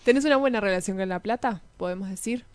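Female radio interviewer, Rioplatense accent, fast and informal, dry studio sound. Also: mid-range voice, smooth, dry recording. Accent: Rioplatense Argentine Spanish. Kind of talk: casual chat interview.

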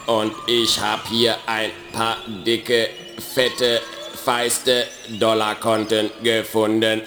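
A pedantic computer virus from a children's radio drama, low and unhurried. Its speech glitches, stutters and repeats syllables, with an echo around it. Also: male voice, completely flat delivery, over music. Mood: scheming. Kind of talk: German children's radio play.

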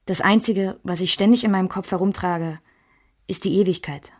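A woman played by an actress looks back on her troubled marriage in a radio play. Her voice sits at a middle pitch, and her delivery is reflective, literary narration. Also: normal speed, darkish tone, close to an everyday voice. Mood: reflective, resigned.

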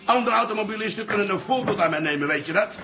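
A man's voice: high voice, lively intonation, rapid-fire, sharp tone, heavily acted. An actor playing an indignant, boastful old father in a radio drama, grumbling and blustering. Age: older.

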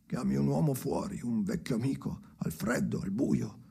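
An actor playing a tormented, bitter ageing man in a radio drama monologue, raspy and often sinking to a whisper, speaking in slow, halting fragments. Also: medium pitch, mellow, full character voice. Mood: bleak, hushed. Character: tormented ageing man haunted by his dead father, brooding, bitter.

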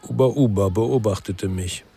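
A man playing a brooding former police detective who narrates a crime radio drama in a sober, terse, reflective way with a husky voice. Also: on the low side, moderate pace, mellow, heavily acted.

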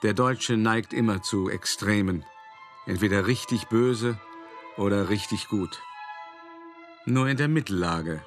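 Low-voiced, worldly old art dealer played by a man. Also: measured pace, pauses often, natural voice. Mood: reflective. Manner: conversational, wry, addressing the listener directly. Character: worldly, cultured old art dealer reminiscing about his schemes. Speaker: narrator. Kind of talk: first-person radio play monologue. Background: music.

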